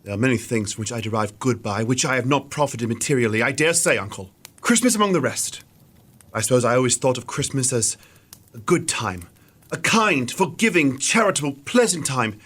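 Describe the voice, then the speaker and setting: An actor playing a good-hearted, cheerful nephew in a radio drama, earnest and pleading. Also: male voice, medium pitch, lively intonation, brisk, a bit bright, husky. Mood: earnest, heartfelt.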